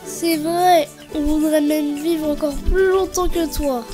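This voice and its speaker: A keen little girl, performed by a woman, talks in a children's cartoon. The voice is extremely high and slow, with music clearly behind it. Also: steady tone. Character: keen, well-taught little granddaughter.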